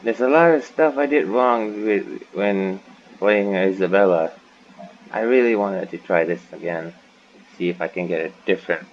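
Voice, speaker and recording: A man's voice: low-pitched, moderate pace, dull audio, a little hiss or hum. A man commenting casually on a game as he plays, musing and thinking aloud. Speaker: gaming channel host.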